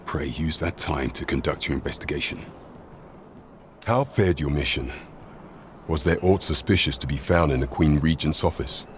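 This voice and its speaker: An actor playing a grave, courteous royal loyalist in a fantasy video game, speaking in formal, measured, archaic courtly phrases. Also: male voice, a bass voice, slight background noise. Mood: grave, cautious. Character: grave, courteous male royal loyalist plotting against the regent.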